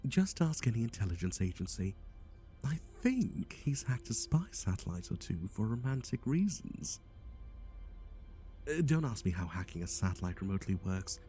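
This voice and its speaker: A man as a low-voiced, flippant, rambling matchmaker. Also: big pitch swings, moderate pace, mellow, soft background music. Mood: blasé, darkly comic. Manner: rambling, offhand, muttering asides. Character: flippant, scatterbrained male matchmaking agency boss peddling dangerous suitors. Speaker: voice actor. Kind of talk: ASMR roleplay, scripted monologue to the listener.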